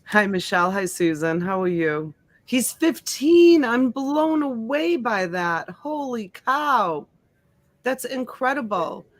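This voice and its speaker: A woman's voice: a little high, animated, takes it slowly, dry recording. Chatty middle-aged woman, Italian native. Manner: chatty, greets viewers by name, many fillers. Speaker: live auction host. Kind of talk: casual live-stream chat. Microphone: USB desk mic.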